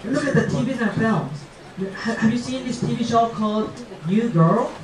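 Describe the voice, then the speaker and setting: A young man from Japan with a Japanese accent performs a stand-up comedy routine in halting, simple phrases. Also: very high voice, a crowd in the background. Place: small comedy club stage, indoors. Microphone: handheld mic, picked up by far camera. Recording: echoey club, distant, audience noise. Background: audience laughter.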